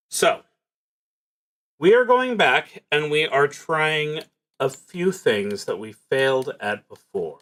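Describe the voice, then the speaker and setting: Male solo roleplay streamer, unhurried and deliberating, with widely varying intonation. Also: medium pitch, a bit bright. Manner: halting, deliberating. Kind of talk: thinking aloud while streaming a tabletop game.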